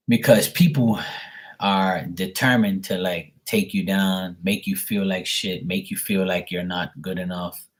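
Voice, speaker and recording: Man, slightly low husky voice, casual rambling live chat, blunt, opinionated, sweary, tarot reader.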